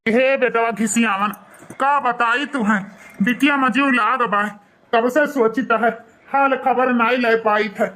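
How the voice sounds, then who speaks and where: A man's voice: very high-pitched, steady tone, unhurried, pushed and bright, full character voice. A man voicing a worried, poor old village father with an Awadhi accent, in an artificially raised cartoon voice. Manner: fretful, plaintive.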